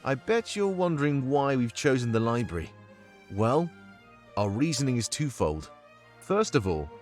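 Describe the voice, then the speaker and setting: A man playing a confident, measured rebel strategist in a fantasy visual novel game, briefing formally and deliberately. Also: medium pitch, lightly acted.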